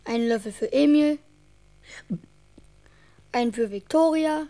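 A woman's voice: high-pitched, measured pace, dark tone. A woman playing an earnest, caring little boy who coaxes and frets in a children's radio drama. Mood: caring, coaxing.

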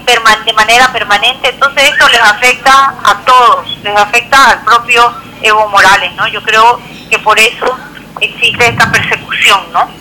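A woman activist giving a live radio interview over a remote link, speaking emphatically and haltingly. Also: a little high, pushed and bright, a little hiss or hum, low-quality recording.

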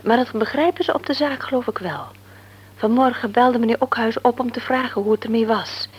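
An actress playing a worried young married daughter in a radio drama, earnest and slightly anxious. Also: female voice, a little high, a little fast, breathy edge, muffled recording.

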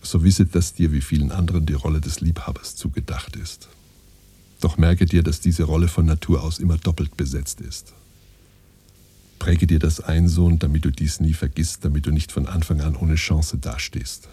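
A man's voice: steady tone, normal speed. An actor playing a pompous father who solemnly instructs his son in archaic, admonishing phrases in a radio play. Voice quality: very deep, dark, husky. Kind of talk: scripted radio play monologue.

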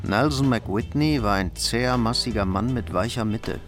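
Measured male crime drama narrator. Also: medium pitch, soft background music.

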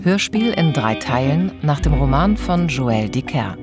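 Woman radio play announcer, really deep voice, loud guitar music under her. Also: sing-song, unhurried. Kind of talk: scripted announcement.

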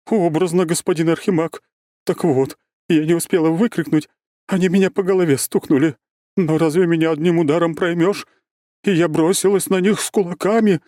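Man voicing an excitable, high-pitched distraught middle-aged mother. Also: a little fast, no room sound, clean studio sound, close to an everyday voice. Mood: agitated, indignant. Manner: breathless, emotional, exclamatory. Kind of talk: audiobook character voice.

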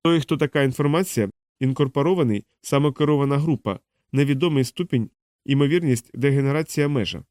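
Audiobook sci-fi computer, acted by a man, indifferent and fragmentary, each word measured apart, medium pitch. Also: darkish tone, no echo, clean studio sound, lightly acted. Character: indifferent translating machine speaking in broken fragments.

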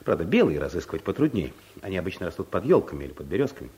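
An actor playing a timid, bumbling office clerk with a hesitant, earnest manner in a vintage radio play. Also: male voice, low-pitched, very animated, very fast, warm tone. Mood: nervous, earnest. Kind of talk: Soviet radio play acting.

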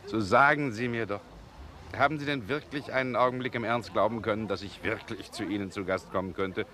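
An actor playing a mocking, self-assured gentleman in a deliberate, musing manner, in the style of a 1960s radio play. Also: on the low side. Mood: amused mockery. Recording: noisy vintage 1960 radio recording.

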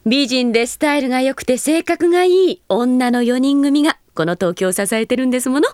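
Proud elder-sister heroine, high female voice, poised, commanding, theatrical anime drama. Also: expressive, a little fast, darkish tone. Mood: wry.

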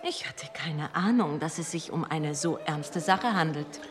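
A woman's voice: low-pitched, a little slow, music in the background. A woman acting in a German radio drama as a genteel society hostess with a refined, formal way of talking. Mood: concerned, confiding. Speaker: actor in a radio play.

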